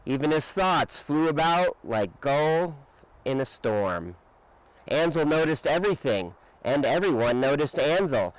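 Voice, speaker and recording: Guy reading a kids storybook out loud, takes his time, really stretches and punches the words. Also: a little high, clear, resonant tone, no room sound, poor audio. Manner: expressive, dramatic emphasis with drawn-out words. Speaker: storybook reader. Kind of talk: children's picture book read-aloud.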